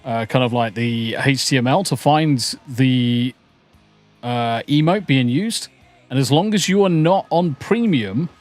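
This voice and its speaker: A man's voice: medium pitch, a little slow. Man, co-host, casual stream talk, swears freely, faint music behind. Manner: heavy casual swearing, says "mate". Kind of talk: casual live-stream chat.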